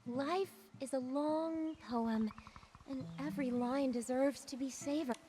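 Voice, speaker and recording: A woman playing a gentle, poetic wandering bard in a video game, speaking in a lyrical, unhurried storytelling manner. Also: high-pitched, steady tone, a little hiss or hum. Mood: reassuring. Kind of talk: scripted video game voice acting.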